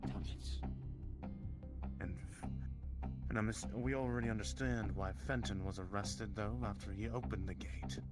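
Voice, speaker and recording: A man playing an earnest, troubled young nobleman in a fantasy roleplay, serious and measured as he reasons aloud. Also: on the low side, steady tone, lightly acted. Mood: troubled, reasoning. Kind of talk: improvised fantasy roleplay dialogue. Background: background music.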